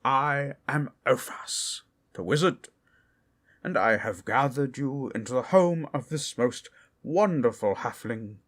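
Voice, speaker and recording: A man voicing a mysterious male human wizard who speaks theatrically, dropping to hushed tones. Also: mid-range voice, animated. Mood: grand. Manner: theatrical, slow and hushed at times.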